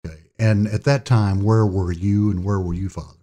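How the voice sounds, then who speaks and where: Interviewer, male, low mellow voice, short pointed questions. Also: fairly even intonation, moderate pace. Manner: brief, probing questions.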